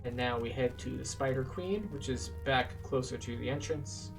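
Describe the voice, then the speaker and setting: A man's voice: mid-range voice, moderate pace, gravelly, distant mic. A man giving casual, explanatory gameplay commentary as a methodical tour guide. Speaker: video game tour guide, player narrating a playthrough. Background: game music.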